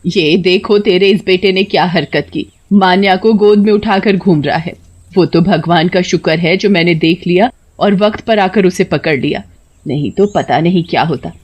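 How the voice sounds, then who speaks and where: A woman's voice: on the low side, moderate pace, breathy edge, lo-fi sound. A woman voicing a scheming, spiteful grandmother in a cartoon story, with a dramatic, scolding manner. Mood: scolding, accusing. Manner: dramatic, scolding, wailing when feigning hurt.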